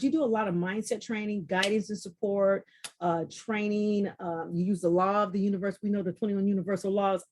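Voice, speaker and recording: A woman hosting a podcast in an excited, effusive way, often repeating phrases for emphasis. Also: medium pitch. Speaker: podcast host.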